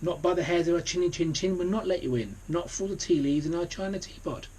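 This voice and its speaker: A man's voice: sits high, quick pace, darkish tone, husky, voice pushed up, rough recording. A man voicing polite, plucky little wolves in a storybook, in a singsong manner. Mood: defiant.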